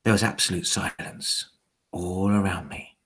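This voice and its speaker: A man reading a children's story aloud in a dramatic, theatrical way, with hushed, suspenseful dips. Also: deep voice, fairly even intonation, measured pace, slightly rough.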